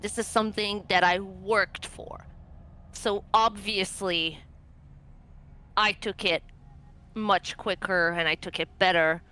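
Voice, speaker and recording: A woman speaking in character as a player in a tabletop roleplaying game, deliberate and reassuring. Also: medium pitch, fairly even intonation, pushed and bright, smooth. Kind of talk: improvised in-character conversation.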